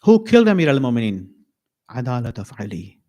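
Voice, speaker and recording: A man giving a mourning sermon at an Islamic center, slow and emphatic, repeating key phrases with pauses. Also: medium pitch, sing-song, warm tone.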